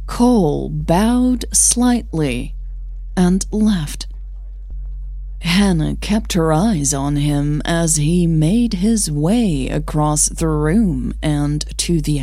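A woman's voice: lowish voice, warm tone, dry recording. A woman narrating scripted audio fiction as the podcast's storyteller.

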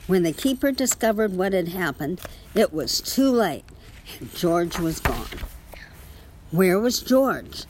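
Lower-voiced woman reading a children's story. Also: slow pace, a little hiss or hum. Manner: expressive storytelling with small spoken asides. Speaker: story reader. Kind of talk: children's picture book read aloud.

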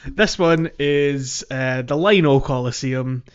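A man's voice: mid-range voice, slow, clean tone. Man chatting casually, slightly dull audio. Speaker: podcast co-host. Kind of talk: casual chat about a video game.

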